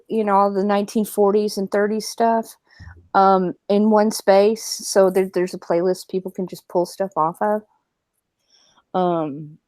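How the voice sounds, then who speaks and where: A woman's voice: mid-range voice, a little mellow, no echo. Woman singer as podcast guest, blunt, giggly, dry close mic. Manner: laughs often, blunt and crude. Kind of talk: casual podcast chat.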